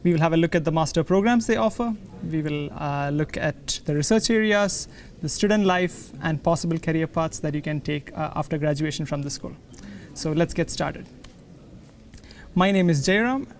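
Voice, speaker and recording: Brief: thirties male webinar host, friendly and measured, a bit higher pitched, faint room noise. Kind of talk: hosting a university webinar. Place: large library hall indoors.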